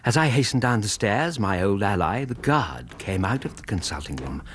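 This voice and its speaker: Deep, husky English gentleman narrator. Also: sing-song, exaggerated voice. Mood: reflective, composed. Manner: measured, literary, formal. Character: reflective male Victorian doctor narrating his memoir. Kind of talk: scripted first-person radio drama narration.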